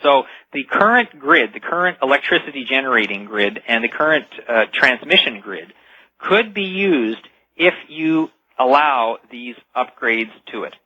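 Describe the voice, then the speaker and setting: A man's voice: medium pitch, lively intonation, measured pace, no room sound. A man speaking as an expert guest in a radio interview, explaining things emphatically and step by step. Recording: dull lo-fi radio sound.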